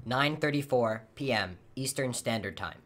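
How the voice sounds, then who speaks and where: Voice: mid-range voice, expressive, normal speed, fairly bright tone, lightly acted. A performer voicing a literal-minded, unemotional artificial entity in a radio play, speaking formally and precisely. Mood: matter-of-fact. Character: literal-minded artificial quantum entity assistant named Peter.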